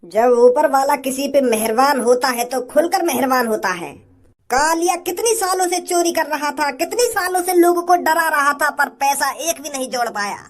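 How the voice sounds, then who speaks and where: A woman voicing a greedy, boastful male kidnapper in a comic cartoon, with a taunting, theatrical, drawn-out delivery. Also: high voice, lively intonation. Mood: gloating. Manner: taunting, drawn-out, sing-song gloating. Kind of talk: comic cartoon villain dialogue.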